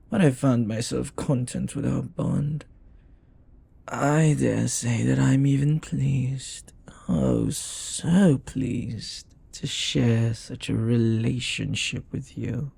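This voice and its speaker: Man playing a slow, gravelly, possessive demon lover. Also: mid-range voice, warm tone, dry recording, full character voice. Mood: devoted, pleased. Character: jealous, flirty, devoted male demon bound to a sorcerer. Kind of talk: intimate audio roleplay addressed to the listener.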